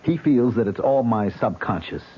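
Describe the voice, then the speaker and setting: An actor playing a haunted, unstable man in a theatrical old radio drama style, swinging in pitch. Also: expressive, moderate pace, breathy edge. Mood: defensive. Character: Jason, haunted, unstable man with murderous urges. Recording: dull, noisy old radio recording.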